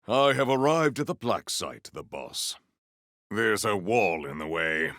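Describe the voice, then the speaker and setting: A man's voice: lowish voice, very animated, a little slow, fairly bright tone, dry recording, studio quality. A man playing a solemn, proud warrior in a video game, speaking with formal, stately diction and dramatic weight. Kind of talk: scripted game character lines.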